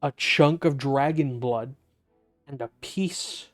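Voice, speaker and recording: Gaming host, young man: mid-range voice, casual and joking, soft music under the talk. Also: mid-range voice, takes it slowly. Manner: wry, joking asides. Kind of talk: casual playthrough commentary. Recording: soft game music behind the voice.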